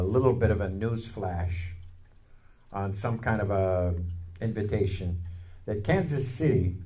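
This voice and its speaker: A man in his fifties giving a sermon testimony, telling a personal story and acting out the words of others with rising excitement. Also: medium pitch. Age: 50s.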